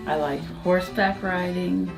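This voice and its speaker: A woman in her fifties from Florida, a clinic patient speaking haltingly and emotionally in a documentary interview. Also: on the low side, fairly even intonation, gravelly. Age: 50s. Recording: music bed under voice.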